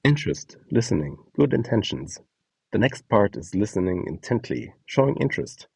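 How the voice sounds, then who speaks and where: Low-voiced, husky man narrating a guide. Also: very animated, moderate pace, mellow, no room sound, distant mic. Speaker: instructor presenting a voice donation guide. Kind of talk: scripted narration with acted example lines.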